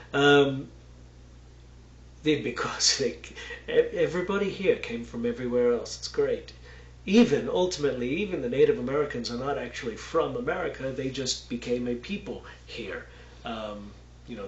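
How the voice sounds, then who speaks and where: A man's voice: mid-range voice, lo-fi sound. Rambling man with a roomy echo. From Texas. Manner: rambling, digressive, many fillers. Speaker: Bible teacher. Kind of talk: casual unscripted Bible lesson.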